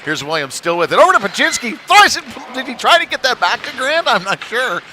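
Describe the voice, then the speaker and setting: A man's voice: very high voice, very animated, fairly quick. A man doing casual, conversational play-by-play commentary on a live hockey game, with slightly hesitant phrasing. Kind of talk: live amateur sports commentary. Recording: noisy live arena sound.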